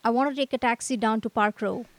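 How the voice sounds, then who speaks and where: Woman playing excitable young artist chap, high-pitched. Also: fast talker, no room sound, natural voice. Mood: elated, frantic. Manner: exclamatory, rattling out short bursts. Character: excitable, impulsive young male artist friend. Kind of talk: radio comedy drama acting.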